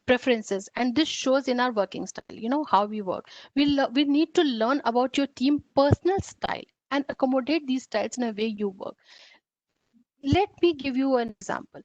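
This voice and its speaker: A woman's voice: high-pitched, no echo. A woman with an Indian English accent giving an online training lecture in an earnest, repetitive way with many fillers and restarts. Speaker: faculty development trainer. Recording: dull lo-fi, dry online call audio.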